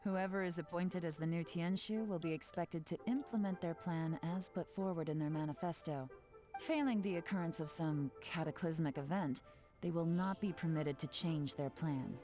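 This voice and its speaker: A woman's voice: fairly low pitch, moderate pace, mellow, natural voice. An actress playing a composed, sly female operative in a video game, speaking in a cool, assured, businesslike way. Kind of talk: English video game voice acting. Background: faint game music.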